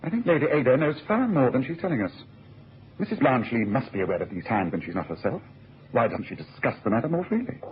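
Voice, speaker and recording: An actor playing a shrewd, authoritative gentleman detective in a radio drama, musing aloud with precise, commanding phrasing. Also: mid-range voice, very animated, quick pace, lightly acted. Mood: musing, courteous. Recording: muffled, slightly noisy old radio recording.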